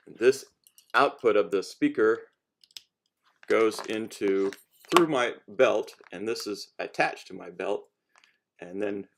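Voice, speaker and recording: A man casually explaining a homemade project in a halting, unscripted how-to style, rephrasing as he goes. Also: fairly low pitch. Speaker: hobbyist cosplay maker giving a demonstration.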